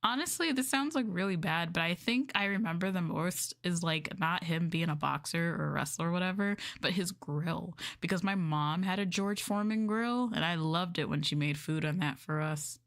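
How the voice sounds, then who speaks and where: A woman with a slightly low voice talks casually to her livestream audience. She is expressive and giggly, drawing out her exclamations as she hosts. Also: normal speed, few pauses. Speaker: livestream host reading scripts to chat.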